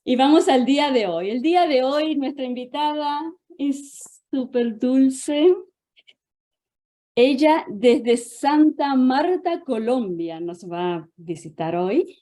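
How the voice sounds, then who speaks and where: A woman hosts an online gathering with open affection and plenty of exclamation. Her voice sits fairly high with a soft, mellow tone, and she takes her time as she talks. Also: animated, slow. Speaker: host of an online gathering, interviewing a guest. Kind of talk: chatty welcome and announcements. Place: online video call.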